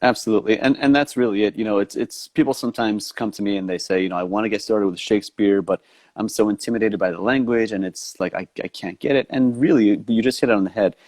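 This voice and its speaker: A man hosts an online talk show with a low voice and a dry, close sound. He is enthusiastic and doubles back on his words as he talks. His audio comes through a video call. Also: quick pace, a little mellow, dry recording. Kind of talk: casual interview chat.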